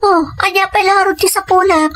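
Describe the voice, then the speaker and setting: A woman's voice: very high-pitched, steady tone, brisk, fairly bright tone. A woman playing a young, cheerful, encouraging friend in an Ilocano-accented audio drama. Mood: lively, encouraging.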